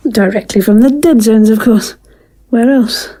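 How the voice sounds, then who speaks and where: Audio drama scientist, played by a woman: clinical female doctor, mellow timbre, measured formal delivery. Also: on the high side. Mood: assured, guarded. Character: composed, clinical woman doctor proposing experiments.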